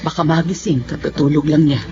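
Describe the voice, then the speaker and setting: A woman's voice: low voice, steady tone, fairly quick, over music. An actress playing a long-suffering, pleading battered Filipino mother in a radio drama. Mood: weary, resigned. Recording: muffled recording with background hum.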